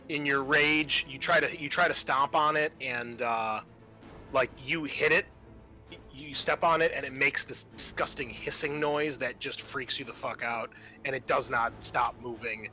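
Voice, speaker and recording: A man with a bright, forward voice runs a tabletop roleplaying game as game master. He narrates off the cuff in a halting, casual style with salty language, over faint music. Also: medium pitch. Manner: halting, colloquial, swearing.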